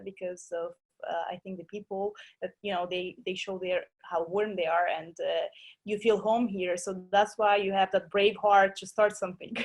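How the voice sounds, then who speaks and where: A woman, a guest in a webinar interview, speaking hesitantly with many fillers and frequent small laughs. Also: medium pitch. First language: Russian. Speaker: guest entrepreneur.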